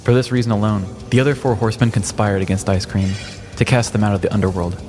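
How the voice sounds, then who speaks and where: A man's voice: fairly low pitch, steady tone, fast talker, a little mellow, faint music behind. A man podcast host reading a scripted comedic story in a mock-dramatic way.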